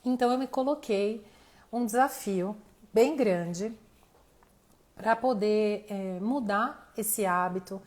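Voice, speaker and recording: A woman's voice: mid-range voice, slow pace, a little mellow. A woman with a Brazilian accent teaching informally as a mentor in an online live class, speaking directly to her audience and thinking aloud, with a slightly tired voice. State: voice tiring, losing it slightly. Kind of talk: informal motivational teaching to camera.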